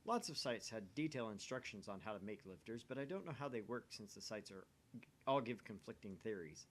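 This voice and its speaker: Man reading aloud with lively intonation. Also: on the low side, brisk. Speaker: let's play host reading a visual novel aloud. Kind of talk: narration reading mixed with casual chat.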